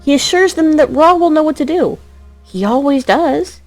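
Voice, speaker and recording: A woman narrating a scripted drama as a storyteller, expressive, with a wry touch. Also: high-pitched, moderate pace. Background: music.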